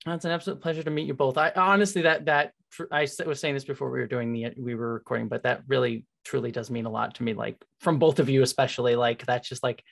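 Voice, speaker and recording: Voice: medium pitch, fairly even intonation, fast, gravelly, few pauses. An interview host chatting casually as an enthusiastic fan on a video call, effusive and rambling, often saying "like". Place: indoor room on a video call.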